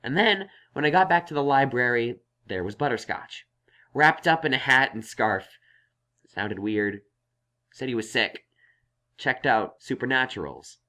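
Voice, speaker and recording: Man voicing an earnest young unicorn scholar, medium-pitched. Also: lively intonation, unhurried, sharp tone. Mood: explaining. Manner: thoughtful, explanatory. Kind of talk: acted audio story dialogue.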